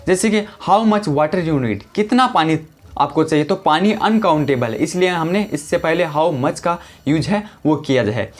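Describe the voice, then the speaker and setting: A man teaching a lesson, calmly explaining step by step and saying each example sentence slowly and deliberately. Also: on the high side. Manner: calm step-by-step explaining, repeats "guys". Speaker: English teacher.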